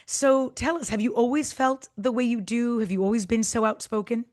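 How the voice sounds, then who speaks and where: A woman co-hosting a policy podcast, a little hoarse and raspy from losing her voice, talking in an earnest, articulate way. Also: a little high, normal speed, darkish tone. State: slightly hoarse, voice partly lost. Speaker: podcast co-host. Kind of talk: conversational podcast interview.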